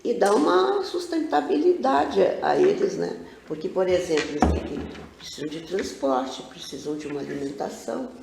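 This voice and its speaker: Animated, gravelly older southern woman. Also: on the low side, moderate pace, noisy background. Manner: animated, rambling storyteller with frequent asides. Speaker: guest, local cultural figure. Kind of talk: casual TV interview chat. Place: TV studio. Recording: roomy studio echo.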